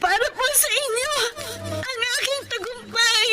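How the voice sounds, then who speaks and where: Casting: young graduate, polite and earnest, slow-paced, high-pitched, radio melodrama over music. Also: female voice, bright, forceful tone, heavily acted. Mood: tearful, grateful. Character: grateful young female graduate, tearful, devoted granddaughter. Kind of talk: sentimental radio drama acting.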